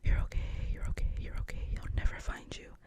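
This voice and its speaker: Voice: brisk, lightly acted. A whispering reader doing a flustered teenage boy. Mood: panicked. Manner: whispered, flustered inner monologue. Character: awkward anxious teenage boy. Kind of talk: whispered screenplay reading.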